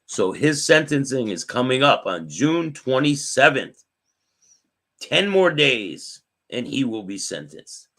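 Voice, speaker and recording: A man with a raspy voice hosting a live stream. He reads news aloud and chats with viewers by name. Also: medium pitch, sing-song, takes it slowly, fairly bright tone. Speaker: host.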